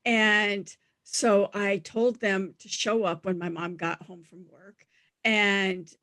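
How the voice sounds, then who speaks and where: A middle-aged woman with a scratchy voice, a guest telling lively anecdotes in a casual interview. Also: mid-range voice, slow pace, no room sound. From Denver, USA. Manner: animated anecdotal storyteller. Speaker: guest, animal talent agent. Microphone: studio mic.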